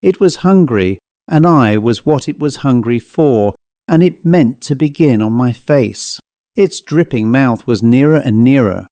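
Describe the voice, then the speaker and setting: A man reading a ghost story as an audiobook narrator, in a measured, dramatic storytelling manner. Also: mid-range voice, expressive, mellow, dry recording.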